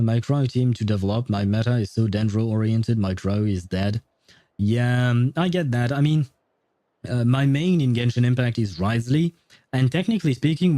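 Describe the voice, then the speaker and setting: A man's voice: medium pitch, warm tone, no room sound. A man rambling casually on a game livestream, thinking aloud as he answers his viewers.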